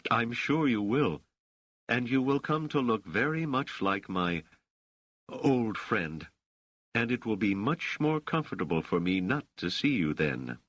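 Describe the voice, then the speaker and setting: A man's voice: lowish voice, sing-song, fairly bright tone, no echo, highs cut off, voice pushed up, heavily acted. A man voicing a self-assured middle-aged woman ruler, gracious and commanding, in an audiobook story. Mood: kindly firm. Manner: poised, formal, measured phrasing.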